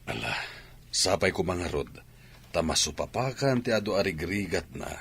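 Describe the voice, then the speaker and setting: A man's voice: low-pitched, expressive, moderate pace, creaky, a bit bright, a little hiss or hum, heavily acted. A man with an Ilocano Filipino accent playing a kindly, concerned teacher in an audio drama. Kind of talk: amateur audio drama acting.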